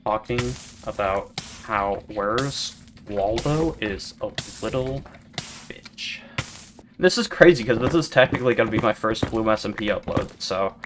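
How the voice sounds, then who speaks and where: A man giving rambling, monotone commentary over gameplay, full of fillers and restarts, as a gaming video creator. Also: fairly low pitch, unhurried, music in the background. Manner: rambling, self-described yapper with many fillers and restarts. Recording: steady background noise.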